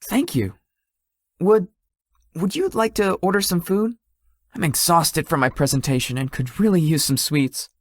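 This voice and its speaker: A close, dry recording of a woman playing a shy young businessman in a visual novel. The voice is on the low side and moves freely up and down, with a polite, slightly formal, sleepy homebody temperament. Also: animated, measured pace, dry recording. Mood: relaxed, friendly. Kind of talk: visual novel character dialogue.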